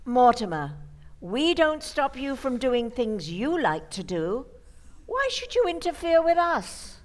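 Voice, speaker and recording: A woman acts a sweet old spinster aunt in a radio comedy. Her voice sits fairly high and moves with lively swoops. She is genteel and blithely matter-of-fact, gently chiding like a proper lady. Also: takes it slowly, heavily acted. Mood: indignant.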